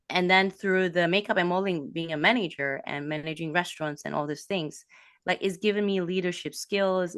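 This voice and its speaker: A woman from a Malaysian background, a business coach, talking in an animated way with quick bursts and restarts. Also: deep voice, dry recording, studio quality. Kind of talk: casual interview talk.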